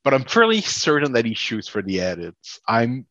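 A man's voice: medium pitch, huge pitch swings, a bit bright, no echo. A man co-hosting a casual film discussion, rambling and stammering as he works through his points. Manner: halting, stammering, rambling delivery. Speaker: podcast co-host. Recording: dull lo-fi audio.

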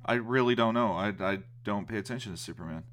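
A man's voice: on the low side, fast, fairly bright tone. A man co-hosting a podcast in casual chat, joking and going along with his partner. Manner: joking, agreeable banter. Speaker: podcast co-host.

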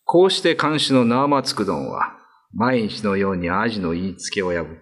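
A man's voice: lowish voice, animated, brisk, fairly bright tone, breathy edge. A man narrating a scripted classic ghost story as a radio drama narrator.

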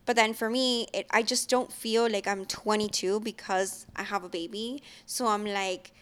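A woman's voice: medium pitch, steady tone, moderate pace, fairly bright tone, smooth. A woman in her twenties chatting casually with a friend, using lots of fillers and laughing easily. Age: 20s. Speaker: young mom in a casual chat. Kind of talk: casual chat.

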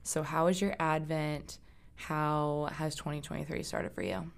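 Soft-spoken woman with a gravelly low voice. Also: measured pace. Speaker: podcast co-host. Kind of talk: casual chat.

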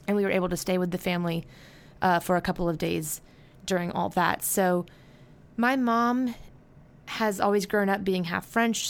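A woman's voice: mid-range voice, smooth. A woman in her twenties from the United States, hosting a podcast and chatting casually in a rambling way with fillers and self-corrections. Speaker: podcast host. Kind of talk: casual solo podcast chat.

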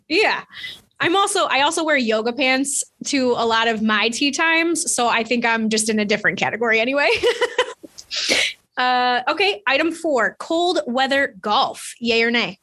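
Bright-voiced Midwest woman host. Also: on the high side, lively intonation, moderate pace. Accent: Midwest American. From Chicago. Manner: blunt, emphatic, laughs easily. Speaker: podcast host. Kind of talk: casual podcast chat.

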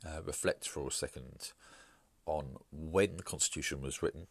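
A man with an extremely deep, low voice hosts his solo podcast, speaking in a measured, halting manner full of "uh"s and restarts. He sounds stuffy and bunged up from hay fever. The recording is close and dry. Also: vocal fry, slightly rough, no room sound. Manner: measured, hesitant with fillers and restarts. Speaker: podcast host.

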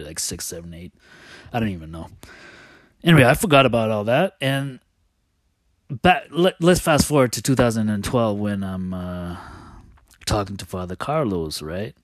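A man telling personal stories in a casual, confessional way, halting and thinking aloud as he often loses his thread. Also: low-pitched, no echo, clean studio sound.

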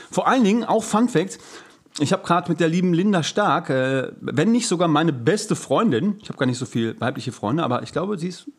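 A man's voice: fairly high pitch, slightly rough. A man co-hosting a podcast in casual chat, talking colloquially with bits of English slang. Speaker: podcast co-host.

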